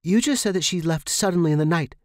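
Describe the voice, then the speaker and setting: Man playing high-pitched, urgent young woman. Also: lively intonation, quick pace, a little mellow, no echo, clean studio sound, close to an everyday voice. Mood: demanding, angry. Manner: urgent, raised, stammering. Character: frightened, defiant young woman, new tenant demanding answers. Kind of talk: dramatized horror audiobook reading.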